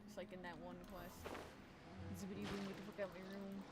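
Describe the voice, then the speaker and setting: A woman's voice: mid-range voice, level tone, fairly quick, very noisy. A woman giving casual, sarcastic, swearing gameplay commentary over game music. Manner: foul-mouthed, sarcastic. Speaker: gaming video maker.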